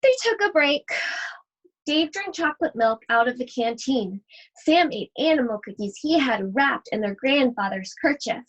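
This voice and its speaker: A woman teacher reading a picture book aloud to children, expressive and speaking directly to her young listeners. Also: high-pitched, normal speed, lo-fi sound. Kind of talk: children's read-aloud with chatty asides.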